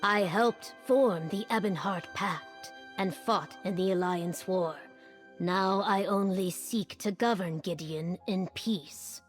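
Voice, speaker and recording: An actress playing a dignified Argonian governor and veteran leader in a video game, measured, earnest and formal. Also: female voice, fairly low pitch. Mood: proud, reflective. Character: dignified female Argonian war-leader turned governor, solemn. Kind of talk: scripted video game dialogue. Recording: music behind the voice.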